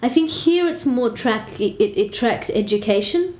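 A woman's voice: on the high side, expressive, moderate pace, a little mellow. A woman with an Australian accent in casual chat, talking through her points thoughtfully and qualifying them as she goes. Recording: roomy echo.

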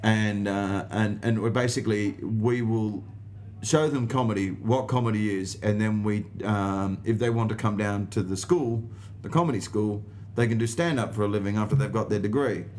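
A man's voice: lowish voice, normal speed, a little mellow, slight background noise. An Australian man, a stand-up comedian being interviewed, telling anecdotes casually in an emphatic, sweary way and acting out the dialogue. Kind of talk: animated anecdote in casual conversation.

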